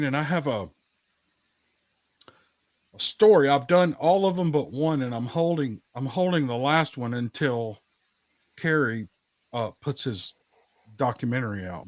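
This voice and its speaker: A man with a mid-pitched voice tells stories in a folksy, conversational way. He repeats phrases to drive a point home, and the sound is dry, with no room around it. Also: dry recording. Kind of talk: casual chat and anecdote telling.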